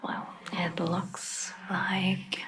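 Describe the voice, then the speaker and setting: A woman whispering gently in an ASMR roleplay as a caring vampire doctor, reassuring and a little hesitant. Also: lowish voice, little pitch movement, very slow. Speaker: ASMR performer.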